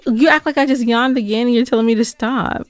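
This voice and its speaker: A woman's voice: a little high, fast, no echo. A woman co-hosting a podcast in casual, playful conversation, teasing her partner. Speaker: podcast co-host. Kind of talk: casual bantering chat.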